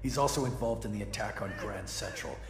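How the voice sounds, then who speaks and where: An actor playing a grim, vengeful cop in a video game, with an American accent and a menacing, hushed growl. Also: male voice, lowish voice, a little fast, close to an everyday voice. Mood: grim resolve. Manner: menacing, terse. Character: grim vengeful male cop out for his father's killer. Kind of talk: dramatic video game cutscene acting. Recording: echoey reverberant hall, loud music behind.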